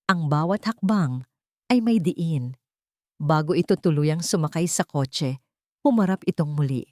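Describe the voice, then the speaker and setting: Narrator: Filipino woman, lowish register, dry sound, measured dramatic storytelling. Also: animated, a little mellow, no room sound.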